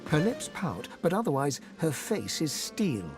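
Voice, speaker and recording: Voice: medium pitch, big pitch swings, a little slow, over music. A narrator reading dramatic scripted storytelling for a fantasy game.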